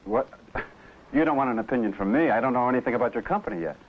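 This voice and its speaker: An eager, modest trainee salesman, acted by a man in a 1970 training film. He is inquisitive and deferential, with a mid-pitched voice. The old film soundtrack is muffled and faintly noisy. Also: lively intonation, racing, lightly acted. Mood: hesitant, probing.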